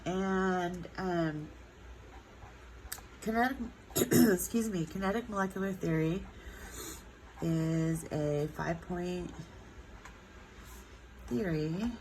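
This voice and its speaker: A woman teaching a chemistry lesson with a stuffed-up nose, speaking unhurriedly with many ums and drawn-out words. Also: lowish voice, darkish tone, slight background noise, rough recording. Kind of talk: recorded classroom lesson.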